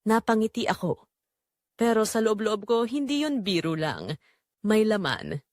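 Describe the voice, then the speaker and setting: A woman's voice: mid-range voice, big pitch swings, measured pace, darkish tone, no echo, clean studio sound. A woman narrating a scripted first-person audio drama in short, dramatic, suggestive phrases.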